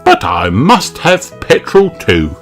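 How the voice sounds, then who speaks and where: Man voicing a boastful friendly fox, storybook reading, slow lively delivery, music bed. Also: mid-range voice, voice pushed up, heavily acted. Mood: proud. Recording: music playing behind.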